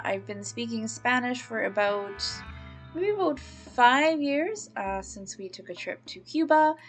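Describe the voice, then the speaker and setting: A middle-aged woman from Canada, a native English speaker, casually thinking aloud as she plays a game and narrates it. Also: medium pitch. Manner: chatty, thinks aloud, coos at baby animals. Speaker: let's play host. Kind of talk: casual gameplay commentary.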